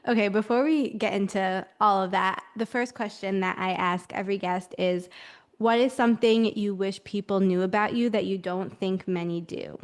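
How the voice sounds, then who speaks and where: A woman's voice: medium pitch, steady tone, moderate pace. Affirming woman podcast host. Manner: warm, affirming, thoughtful questions with "mm" listening. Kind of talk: interviewing.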